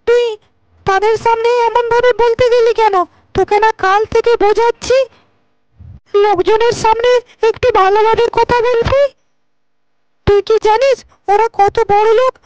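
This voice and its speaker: A woman doing very high-pitched cartoon village women. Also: steady tone, moderate pace, a little mellow, muffled recording. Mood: scolding. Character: village women and girls in a moral tale, mothers and daughters. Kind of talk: cartoon dialogue acting. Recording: dry voice over background music.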